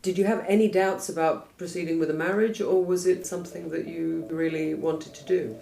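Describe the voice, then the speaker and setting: A woman asks interview questions in a creaky voice. She sounds somewhat distant in an echoey room, with faint noise around her. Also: low voice, fairly even intonation, a little fast.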